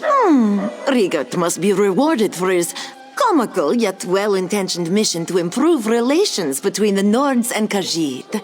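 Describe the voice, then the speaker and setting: Lady doing a haughty cat-woman courtier, formal and dry, lots of swoops, faint music behind. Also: fairly low pitch. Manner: formal, measured, dryly disdainful. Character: haughty, dignified female cat-folk noble's spokeswoman. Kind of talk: voice-acted game roleplay.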